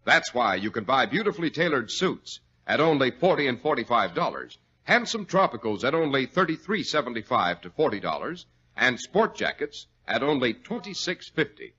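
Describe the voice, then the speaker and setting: Forceful 1940s radio announcer, a husky man. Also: mid-range voice, big pitch swings, normal speed. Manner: polished, persuasive. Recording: dull old radio recording.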